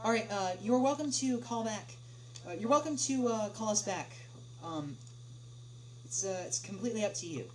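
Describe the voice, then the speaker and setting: A woman's voice: medium pitch, expressive, moderate pace, natural voice. A woman reading the part of a flustered male customer service agent, apologetic, polite and hesitant. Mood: resigned. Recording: noisy home livestream with hum.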